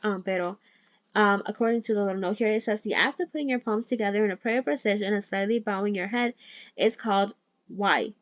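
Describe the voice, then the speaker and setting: A woman's voice: mid-range voice, fairly quick, dry recording. A woman who is not a native English speaker, reading a novel aloud in a casual read-along, chatting between passages and stumbling over words. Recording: dull, lo-fi dry mic.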